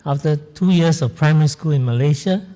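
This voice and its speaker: An older man gives a conference talk in a measured, reflective way. His voice is warm and mellow, and it rings out through a reverberant conference hall. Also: on the high side. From Singapore. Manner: measured, reflective, with frequent pauses and fillers. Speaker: guest speaker.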